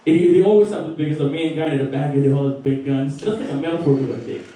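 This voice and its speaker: A man's voice: a little high, rapid-fire, over a noisy crowd. A young man with a Filipino accent doing a profane stand-up set, laughing at his own jokes. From Philippines. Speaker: stand-up comedian. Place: small record store stage. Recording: roomy, distant live recording.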